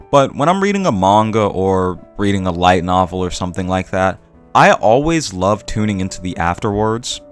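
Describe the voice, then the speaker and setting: Guy with a lowish voice doing a video essay, earnest and emphatic, bit of music under it. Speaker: video essayist, channel host. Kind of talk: scripted opinion video essay narration.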